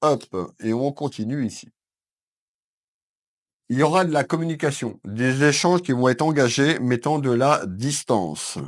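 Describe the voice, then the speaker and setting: A man from France with a head cold giving a calm weekend card reading to viewers from his consulting office. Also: mid-range voice, measured pace. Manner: calm, addresses viewers as "mes belles âmes", with frequent fillers. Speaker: psychic card reader. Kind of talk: weekend tarot reading to camera.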